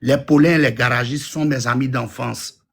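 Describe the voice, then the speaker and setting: A man in his fifties from Côte d'Ivoire calls into a live discussion and talks bluntly, circling back to his points with insistence. His voice has a gravelly, husky grain. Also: mid-range voice, fairly even intonation, moderate pace. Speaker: caller. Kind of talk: live audio room call-in.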